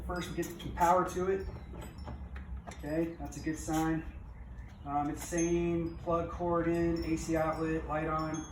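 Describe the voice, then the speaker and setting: A middle-aged man giving a casual, unscripted walkthrough of a project as a vlogger, rambling along in a folksy way. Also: fairly high pitch. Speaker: vlogger reselling auction finds. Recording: roomy, distant mic, background noise.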